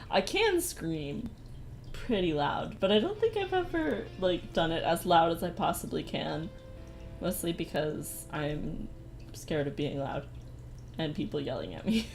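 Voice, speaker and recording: A young woman chatting casually on a livestream, soft-spoken and rambling, often laughing. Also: sits low. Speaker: streamer. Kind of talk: casual livestream chat while drawing. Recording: faint music behind. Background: faint background music.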